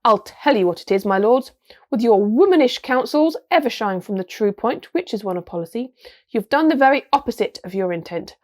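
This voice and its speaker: A woman's voice: a little high, expressive, brisk, a little mellow, pitched above the performer's own voice, close to an everyday voice. A woman playing a hot-tempered, imperious middle-aged king, blustering and roaring in a storybook reading. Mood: furious.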